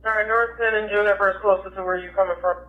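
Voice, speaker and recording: A woman's voice: medium pitch, fairly even intonation, fast. A woman working as a police dispatcher, talking over police radio. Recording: tinny narrowband police scanner radio.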